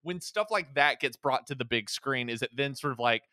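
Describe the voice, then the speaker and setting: A man's voice: mid-range voice, moderate pace, bright, forceful tone, dry recording, clean studio sound. A man in a casual podcast conversation about films, musing aloud in a tentative, conversational way. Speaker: podcast speaker. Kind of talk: casual film discussion.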